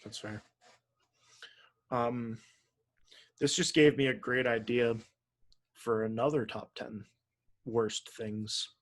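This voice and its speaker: A man's voice: mid-range voice, fairly even intonation, slow pace, pauses often. A man co-hosting a podcast in casual banter, speaking in blunt, slangy and sweary bursts. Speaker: podcast co-host.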